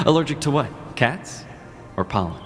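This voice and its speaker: A man's voice: on the high side, a bit bright, exaggerated voice. A man voicing a cryptic male mage mentor in an audio drama, easygoing and teasing, often chuckling as he talks.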